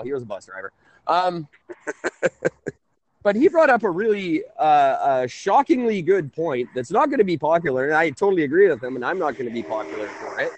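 A man's voice: on the high side, dry recording, rough recording. A man in his thirties hosting a casual call-in radio talk show, rambling and correcting himself. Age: 30s. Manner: rambling, chuckling, self-correcting.

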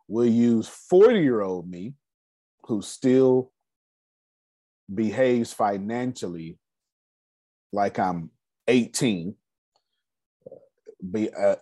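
A man in his forties giving a casual, energetic finance seminar talk, asking rhetorical questions and answering them himself. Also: fairly low pitch, no room sound. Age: 40s. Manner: loose, energetic, repeats key points. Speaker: finance speaker.

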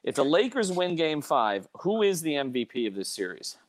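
A man's voice: a little high, very animated, normal speed. Emphatic male sports talk host. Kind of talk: casual sports talk with sponsor plugs.

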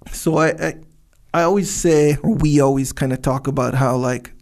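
A man's voice: on the high side, unhurried, a little mellow. A man co-hosting a podcast in casual chat, laid-back and rambling, with many fillers and restarts. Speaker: podcast co-host. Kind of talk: casual chat with sponsor reads.

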